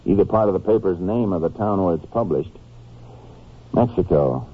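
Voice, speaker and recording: A businesslike American lawman in a vintage radio drama. His voice is low and slightly rough, his questions direct and matter-of-fact, on a narrow, muffled old recording. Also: lively intonation, moderate pace. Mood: intent, curious. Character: steady, businesslike male Texas Ranger investigator. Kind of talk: 1950s radio drama acting.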